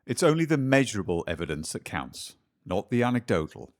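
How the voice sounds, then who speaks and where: A man voices a methodical investigator who trusts only instruments and readings. His lowish, lively voice sounds brisk and faintly dismissive as he directs his assistant in a radio play. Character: skeptical male paranormal investigator, brisk, methodical. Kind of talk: community radio play acting.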